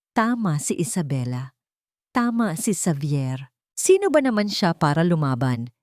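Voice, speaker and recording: A woman's voice: on the low side, a little mellow, no echo, studio quality. A woman narrating a scripted love story in an emotive, dramatic storytelling manner.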